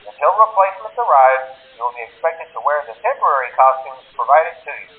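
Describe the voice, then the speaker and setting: A tinny, narrow telephone recording carries a male company trainer for a video game. His voice sits a little high and swings up and down in a very animated way. He is awkward and hesitant, yet delivers official instructions with bland, upbeat corporate calm. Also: brisk. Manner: hesitant openers, blandly corporate, matter-of-fact about danger. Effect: telephone filter. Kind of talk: scripted instructional phone message. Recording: tinny narrowband phone line.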